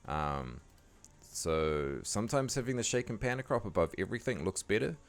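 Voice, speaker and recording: A man with a croaky voice giving a laid-back, casual editing tutorial, talking loosely with lots of fillers. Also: fairly low pitch, sing-song, moderate pace. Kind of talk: casual screen-recording tutorial voice-over.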